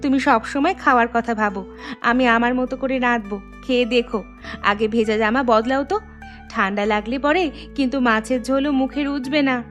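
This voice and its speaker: A woman's voice: on the high side, fairly even intonation, moderate pace, soft background music, close to an everyday voice. A woman playing a young Bengali daughter-in-law in a cartoon, caring, earnest and respectful, eager to please. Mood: affectionately bossy. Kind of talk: animated moral story voice acting.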